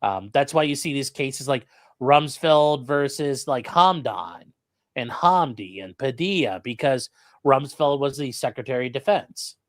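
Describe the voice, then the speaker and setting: A man's voice: mid-range voice, animated, unhurried, dry recording. A man hosting a live stream, reading out viewer questions and giving casual legal commentary with wry, joking asides.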